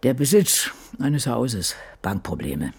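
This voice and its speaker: A man's voice: medium pitch, very animated, slow, a little mellow, breathy edge, exaggerated voice. Brooding old woman writer, swooping delivery. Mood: weary, dry. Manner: dry, brooding, clipped fragments, muttering to self. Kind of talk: radio drama diary monologue.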